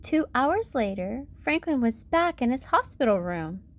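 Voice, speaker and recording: A woman's voice: high-pitched, big pitch swings, unhurried. A woman hosting a read-aloud, narrating a children's storybook expressively. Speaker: storybook reader and channel host.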